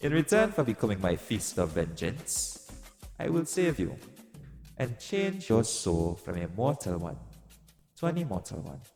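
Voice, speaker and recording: In a vast reverberant space, a man performs a cruel male god of vengeance with a gravelly, creaking voice. He speaks very slowly and grandly, bargaining with menace in theatrical audio-drama style. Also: lowish voice, sing-song. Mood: grand, tempting. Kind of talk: theatrical audio roleplay monologue. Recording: long cavernous reverb. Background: music.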